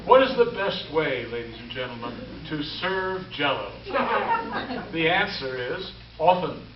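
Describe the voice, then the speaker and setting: A man's voice: on the high side, expressive, measured pace, over a noisy crowd, very noisy. A man playing a genial, polished announcer in 1940s radio style. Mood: upbeat selling. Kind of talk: 1940s radio announcing, scripted sponsor pitch. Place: live stage show before an audience. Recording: echoey, muffled live stage from far off.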